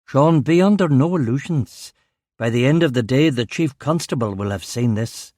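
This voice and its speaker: A man's voice: mid-range voice, expressive, moderate pace, darkish tone, no room sound, clean studio sound, lightly acted. A man voicing a grave, worried chief inspector in an audiobook, speaking tersely and with caution. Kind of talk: audiobook character dialogue.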